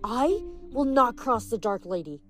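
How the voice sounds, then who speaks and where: A woman's voice: on the high side, expressive, a little slow, a bit bright, soft background music, voice pushed up. A woman voicing an older female vampire demon in a fantasy story, indignant, growling and shrieking.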